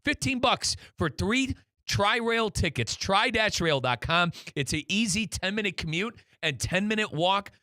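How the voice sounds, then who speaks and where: Bright, higher-pitched male sports host. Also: expressive, measured pace, no echo, studio quality. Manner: emphatic, chummy, repeats himself. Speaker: sports radio host and play-by-play announcer. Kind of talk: soccer talk show hosting.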